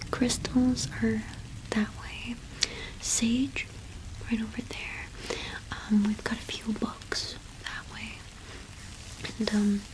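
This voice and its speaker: A woman in her twenties doing an ASMR roleplay as a cottage witch shopkeeper, speaking softly and unhurriedly with hesitant pauses, close to the microphone. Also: fairly high pitch, background noise. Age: 20s. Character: friendly solitary cottage witch keeping a small shop.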